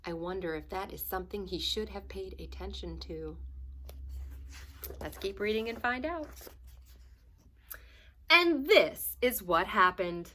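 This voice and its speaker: A woman's voice: mid-range voice, expressive, unhurried, long pauses, bright, forceful tone, a little hiss or hum. A woman reading a children's story aloud like a teacher, expressively and with dramatic emphasis. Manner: dramatic emphasis, asks listeners questions.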